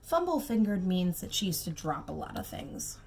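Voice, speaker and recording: A woman reading a storybook aloud to young children, expressive and emphatic, with simple asides and questions for the listeners. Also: on the low side, vocal fry, slight background noise. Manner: expressive, slow emphatic phrasing, simple child-directed comments. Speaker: preschool teacher reading aloud.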